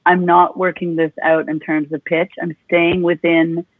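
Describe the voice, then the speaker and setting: Expressive woman vocal coach, husky voice. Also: on the low side, measured pace, no room sound. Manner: expressive, talks with her hands. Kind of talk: animated explaining with vocal demonstrations. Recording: tinny phone-like dry sound.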